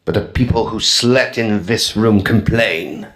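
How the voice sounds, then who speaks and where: Actor as a slow, guarded Edwardian house owner. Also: male voice, fairly low pitch, a bit bright. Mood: evasive, uneasy. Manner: guarded, hesitant, formal. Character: evasive, nervous Edwardian house owner, stubbornly dismissive. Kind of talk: audio drama dialogue.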